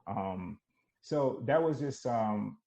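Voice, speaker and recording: A man's voice: on the low side, slow pace, vocal fry. A man from Nigeria, a podcast guest, talking casually and reflectively with lots of fillers. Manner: relaxed, reflective storytelling with many fillers.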